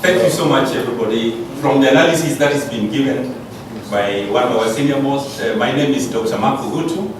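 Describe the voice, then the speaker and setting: Man, Kenyan accent, medium pitch, polite presenter, roundabout phrasing, noisy distant recording. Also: brisk. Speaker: college business lecturer presenting. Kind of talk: conference presentation. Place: large echoey room, recorded from afar. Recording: echoey room, distant mic, noisy.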